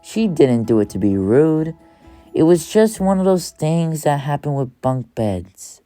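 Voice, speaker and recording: A woman with a low voice reads a children's storybook at an unhurried, slow pace. She narrates as an audiobook reader, and music plays behind her. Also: very animated, mellow, dry recording.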